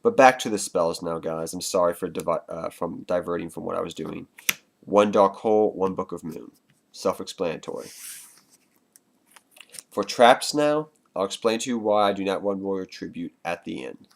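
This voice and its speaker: Male vlogger, very low pitch, stuffy nose from a cold, chatty and loose delivery to viewers. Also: moderate pace, vocal fry, slight background noise. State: congested with a cold. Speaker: card game vlogger. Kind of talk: casual deck explanation to viewers.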